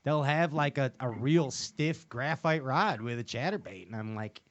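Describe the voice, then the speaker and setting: A man hosting a casual video-call chat, excitable, stammering and laughing often. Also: mid-range voice, normal speed, muffled recording. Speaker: show host.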